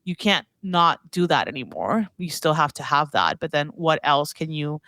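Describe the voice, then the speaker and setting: A woman speaking as a guest commentator in a casual podcast discussion, halting and leaning heavily on "like" as she talks. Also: low voice, animated, pushed and bright, no echo. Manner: halting, heavy use of "like". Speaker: guest commentator on grocery and consumer brands.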